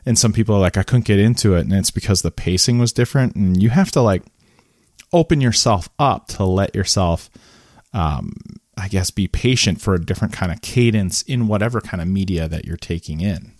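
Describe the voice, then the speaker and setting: A man's voice: low-pitched, expressive, brisk, a little mellow, no echo, studio quality. A man co-hosting a podcast, chatting casually and laughing as he rambles through his thoughts. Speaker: podcast co-host. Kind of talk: casual chat. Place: home basement.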